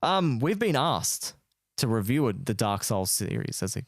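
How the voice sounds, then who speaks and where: A man's voice: mid-range voice, very animated, moderate pace, no room sound, studio quality. An Australian man co-hosting a podcast, chatting about games in a laid-back, slangy way. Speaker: podcast co-host. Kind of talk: casual gaming chat.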